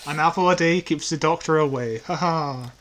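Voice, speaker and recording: A man's voice: a little high, moderate pace, a bit bright. A man co-hosting a casual, unscripted podcast, explaining things in a light-hearted, self-deprecating way. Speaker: podcast co-host.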